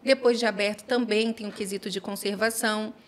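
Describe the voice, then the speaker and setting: A woman in her forties with a mixed Brazilian accent, telling her story in an expressive, chatty way as a podcast guest. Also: mid-range voice, fairly quick. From Rio de Janeiro and São Paulo, Brazil. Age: 40s. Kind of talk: casual interview storytelling.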